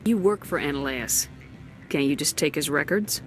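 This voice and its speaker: A woman's voice: deep voice, animated, normal speed, faint music behind. A woman playing a tough military commander in a video game, speaking firmly and directly with blunt questions. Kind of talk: video game dialogue acting.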